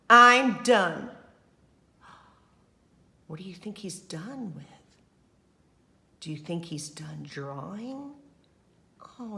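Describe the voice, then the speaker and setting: A woman teacher reading a storybook aloud to children, expressive, with simple explanatory asides. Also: fairly low pitch, gravelly.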